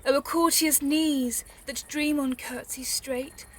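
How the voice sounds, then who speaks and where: A high, forceful voice of a woman performing a mercurial, witty dreamer in Shakespearean audio drama. The verse is drawn out slowly and theatrically, every fanciful image relished. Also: fairly even intonation. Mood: whimsical. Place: home recording. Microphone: phone.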